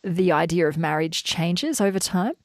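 A woman's voice: lowish voice, moderate pace, no echo. A woman hosting a live radio show, upbeat and bantering with her guest and producer. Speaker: radio host. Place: radio studio. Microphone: studio mic, dry.

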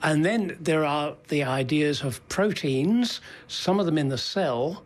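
Husky, higher-pitched male interviewer. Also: unhurried. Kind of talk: conversational science interview.

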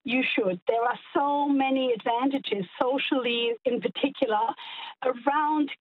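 High-voiced woman on a phone line. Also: unhurried, husky. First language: German. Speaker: caller, academic. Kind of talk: phoned-in talkback call.

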